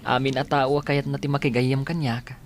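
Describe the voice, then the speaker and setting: Guy acting as polite young ilocano student, easygoing, middle pitch, slight noise behind. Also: little pitch movement, normal speed, close to an everyday voice. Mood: friendly. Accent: Ilocano Filipino. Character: polite young male student, respectful grandson. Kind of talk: radio drama acting.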